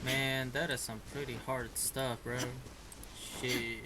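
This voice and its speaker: A man's voice: mid-range voice, level tone, slow, very noisy. A young man giving casual, slangy, exclamatory reaction commentary while playing a video game. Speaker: gaming video host.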